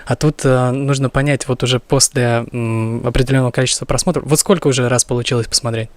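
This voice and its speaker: A man's voice: mid-range voice, steady tone, quick pace, no echo. A man co-hosting a live-stream interview, asking questions hesitantly.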